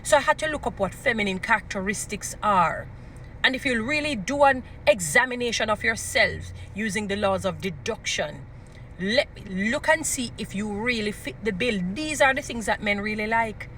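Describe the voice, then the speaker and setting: Jamaican woman, strong mid-pitched voice, emphatic preachy advice-giver, informal delivery, some outdoor urban noise behind. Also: animated, normal speed, a bit bright, low-quality recording. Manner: emphatic, preachy, repeating short phrases for emphasis. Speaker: relationship advice speaker. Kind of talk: informal motivational advice talk.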